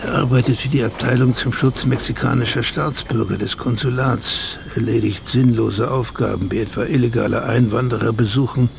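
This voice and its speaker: A man's voice: medium pitch, fairly even intonation, moderate pace, vocal fry, few pauses, noisy background. An actor playing a sly, mocking inner voice that needles a woman with sardonic, insinuating commentary in a radio drama. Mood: dry, knowing.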